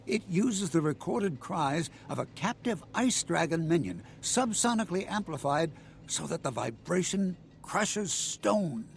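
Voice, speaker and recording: High, creaky mole-creature comrade. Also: male voice, slight background noise. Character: frightened, grateful Dredge comrade, a mole-like creature. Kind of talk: video game voice acting.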